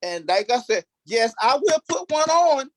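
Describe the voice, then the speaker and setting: A man's voice: extremely high pitch, big pitch swings, measured pace, no room sound, studio quality. A man who acts on stage, talking informally in an interview and repeating phrases emphatically for stress. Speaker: stage play cast member, actor.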